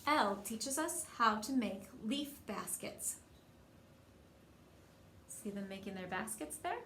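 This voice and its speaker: A woman's voice: mid-range voice, slow pace. A woman reading a picture book aloud to young children as a storyteller, expressively and with questions to her listeners. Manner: expressive, acts out dialogue, asks listeners questions. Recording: roomy echo, faint background noise.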